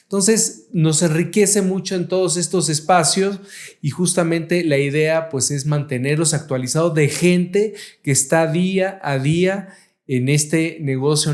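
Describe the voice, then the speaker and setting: A man's voice: on the high side, fairly even intonation, measured pace, darkish tone. A man hosting a casual real estate podcast and introducing his guests with effusive praise and frequent fillers. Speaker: podcast host, realtor.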